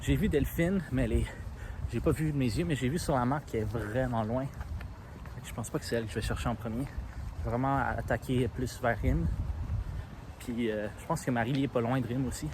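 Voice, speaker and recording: A young man with a Quebec French accent, thinking aloud in a relaxed, plain way as he walks city streets, recording himself on his phone. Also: medium pitch, a little fast, background noise. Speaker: seeker in hide-and-seek vlog. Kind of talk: casual self-filmed vlog narration.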